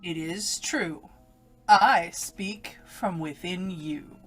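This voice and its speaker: A woman's voice: fairly low pitch, very slow, a bit bright, soft background music, heavily acted. A woman playing an evil, domineering inner voice in an old-time radio melodrama, taunting and commanding with menace. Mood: eerie, taunting. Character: evil inner voice, a domineering female alter ego.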